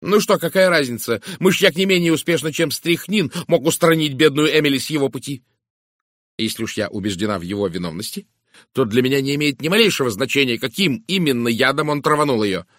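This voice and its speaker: Man voicing brusque, indignant woman, pitched up and forceful. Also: expressive, brisk, no room sound, studio quality, natural voice. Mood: stubborn, scornful. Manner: curt, sharp, emphatic. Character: brusque, impatient, indignant English woman, stubbornly outspoken. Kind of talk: dramatised audiobook dialogue.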